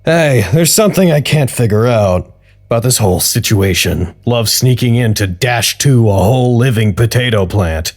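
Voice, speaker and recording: A man's voice: mid-range voice, animated, moderate pace, a little mellow. A man acting as a dry, questioning, slightly put-upon research scientist in an audio drama. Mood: probing. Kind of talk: naturalistic audio drama dialogue.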